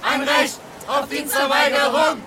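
Man as very high-pitched shouting protest chanter. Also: little pitch movement, unhurried. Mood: defiant. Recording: chanting crowd, music, traffic behind.

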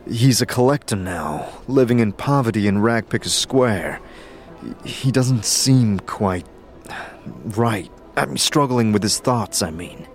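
A man playing a weary, earnest immortal wanderer in an audio drama, speaking in a halting, hesitant way. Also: fairly low pitch, expressive, faint music behind.

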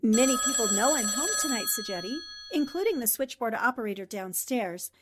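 A woman acts out a defiant girl reporter in a radio thriller. Her high voice carries wry nerve under threat, with clear noise behind it. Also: lively intonation, a little fast, natural voice. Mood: guarded. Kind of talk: radio drama acting in 1940s manner.

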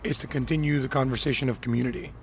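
A man from Miami hosting a casual podcast, an animated storyteller who likes to rant and act out quotes. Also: medium pitch, very fast, a little hiss or hum. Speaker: podcast host.

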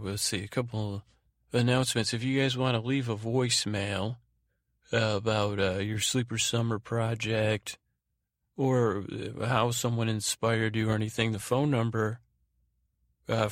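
A man hosting a bedtime podcast in a gravelly voice, meandering and halting as he trails off mid-thought. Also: on the low side, steady tone, no room sound, studio quality. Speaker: podcast host. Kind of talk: rambling bedtime podcast monologue.

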